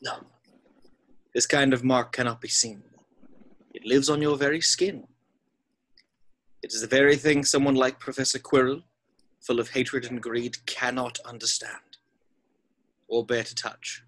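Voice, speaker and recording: A man acts out an elderly wizard headmaster, wise and gentle. He sits at a medium pitch and speaks deliberately, leaving weight in his pauses, as in a fantasy script read. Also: fairly even intonation, rough recording. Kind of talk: table read of a fantasy screenplay.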